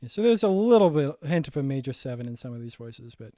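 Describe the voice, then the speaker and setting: A young man walking through his own saxophone arrangement in a casual, halting way, full of ums and restarts, his voice getting raspy. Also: mid-range voice, big pitch swings, over music. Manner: halting, many ums and restarts, thinking aloud. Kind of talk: casual screen-share breakdown.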